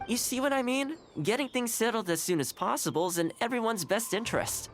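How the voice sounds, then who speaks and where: A man playing a calm, shrewd young housekeeper of a samurai clan in a video game, speaking in a composed, articulate way. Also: extremely high pitch, a little fast. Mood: composed, easygoing.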